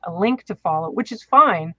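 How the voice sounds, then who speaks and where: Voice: mid-range voice, animated, moderate pace, sharp tone, dry recording. An effusive, enthusiastic podcast co-host chatting casually. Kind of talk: casual chat. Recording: dull, lo-fi audio.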